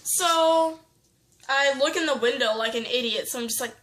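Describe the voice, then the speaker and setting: A woman telling a story in casual chat, rambling with fillers and restarts and getting animated. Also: high-pitched, normal speed. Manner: animated, breaks into sound effects.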